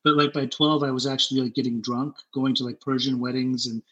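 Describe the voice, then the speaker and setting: A middle-aged man, born in Germany and raised in Utah, telling his own story as an interview guest in a candid, halting way, often repeating words and growing animated as he retells scenes. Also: medium pitch, highs cut off.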